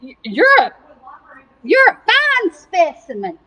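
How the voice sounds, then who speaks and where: A woman's voice: very high voice, huge pitch swings, slow, pushed and bright, pitched above the performer's own voice, full character voice, poor audio. A woman voicing a folksy, admiring male farmer in a children's story, musing and drawling in a Southern US accent.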